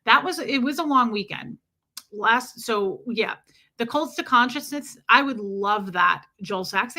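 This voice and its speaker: Bright-voiced woman chatting on a livestream. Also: on the high side. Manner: chatty and warm toward viewers, many fillers. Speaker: livestream host. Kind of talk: casual livestream chat, answering viewer comments.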